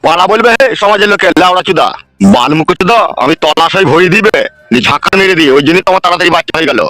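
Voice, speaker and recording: Cartoon casting: irritable older father, played by a man, blunt scolding, extremely quick delivery, music underneath. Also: high-pitched, pushed and bright, no room sound. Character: gruff, irritable older village father, foul-mouthed. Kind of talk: cartoon comedy dialogue.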